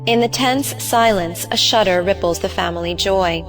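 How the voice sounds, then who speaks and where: A woman's voice: mid-range voice, moderate pace, low-quality recording. Female narrator reading terse stage directions, faint music.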